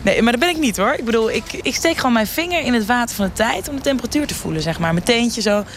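A woman's voice: fairly high pitch, animated, fast. Female trendwatcher: breezy, self-absorbed, scatterbrained, slangy; radio satire, light outdoor noise. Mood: gushing, defensive. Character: gushing, self-absorbed, shallow woman trendwatcher interviewed while shopping. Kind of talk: mock street interview in radio satire. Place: outdoors on a shopping street.

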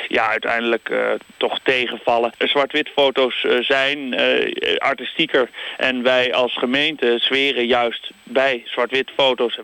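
A dry male town spokesman, deadpan and very halting. His voice is bright and clear with a flat, monotone delivery, as in a radio news soundbite. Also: medium pitch. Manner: very hesitant, deadpan.

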